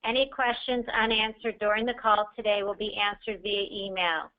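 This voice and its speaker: A woman reading a formal, scripted introduction as moderator of a conference call, in a measured, carefully enunciated way. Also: medium pitch, fairly even intonation. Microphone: conference call line. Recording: dull, lo-fi conference call audio.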